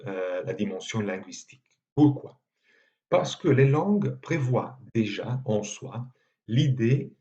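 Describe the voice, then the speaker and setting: A man with an Italian accent, a native Italian speaker giving a measured university lecture with frequent hesitations. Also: on the low side, mellow, highs cut off. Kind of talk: recorded lecture.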